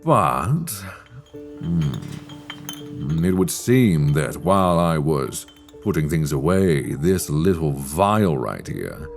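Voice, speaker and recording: A man acting a sly, teasing master of a magic academy in a dark fantasy ASMR roleplay, speaking in measured, wry, formal phrases. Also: a bass voice, vocal fry, heavily acted. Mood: conspiratorial, sly.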